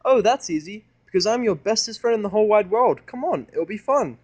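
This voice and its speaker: A man's voice: high voice, animated, a little fast, a little mellow, highs cut off, pitched above the performer's own voice. A man voicing a hyperactive, childlike female cartoon pony who pleads and gushes. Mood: excited, eager. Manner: bouncy, pleading, gushing.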